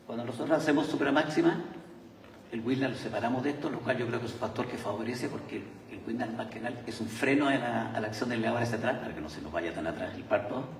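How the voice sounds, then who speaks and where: Chilean man lecturing at a conference, halting, recorded from far off in a reverberant hall. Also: medium pitch, slight background noise. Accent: Chilean Spanish. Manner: hesitant, many filler sounds and restarts. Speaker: surgeon presenting at a conference. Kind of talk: conference talk.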